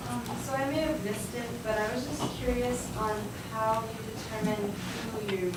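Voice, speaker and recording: A woman's voice: a little high, steady tone, moderate pace, slight background noise, low-quality recording. A woman in the audience asking a question in a curious, tentative way.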